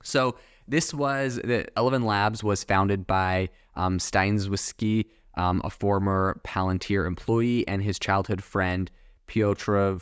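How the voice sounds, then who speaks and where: Guy talking on his podcast, lowish voice, loose and rambling, dry close mic. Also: steady tone, takes it slowly, clean tone, no room sound. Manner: rambling, lots of fillers and asides. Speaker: podcast host. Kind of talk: casual solo podcast commentary.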